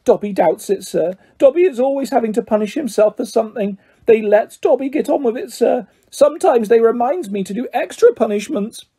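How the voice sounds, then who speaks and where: A man voicing a small, fawning, earnest house elf with a high-pitched squeaky voice in a storybook reading. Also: lively intonation, a little fast, few pauses, mellow, breathy edge, heavily acted. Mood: anxious, servile. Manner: speaks of self in third person, fawning. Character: small servile male house elf, earnest and tearfully grateful.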